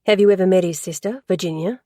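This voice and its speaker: Woman doing an Australian man asking calm questions. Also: lowish voice, fast talker, no echo, studio quality, close to an everyday voice. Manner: calm, gently probing questions. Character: patient male investigator with an Australian accent.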